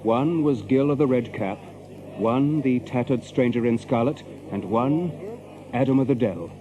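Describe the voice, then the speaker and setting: An actor playing a measured storyteller, reading scripted narration of a medieval adventure. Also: male voice, mid-range voice, phone-quality sound, crowd noise, lightly acted.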